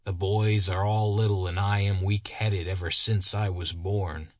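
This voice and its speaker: A man's voice: low-pitched, fairly even intonation, measured pace, no room sound, muffled recording. A man voicing a haggard, simple-minded man who earnestly preaches doom and prays in biblical cadence. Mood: earnest prayer.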